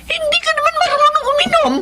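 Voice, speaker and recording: An actress with a Filipino accent playing a poor, principled mother in a radio drama, caring but quick to scold. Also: very high voice, steady tone, loud music behind the voice. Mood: scolding, worried. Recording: clear background noise.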